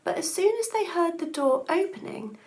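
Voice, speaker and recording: Female storybook reader, schoolteacher type, high register, animated and expressive delivery. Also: moderate pace. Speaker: schoolteacher reading to pupils. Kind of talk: expressive children's storybook reading.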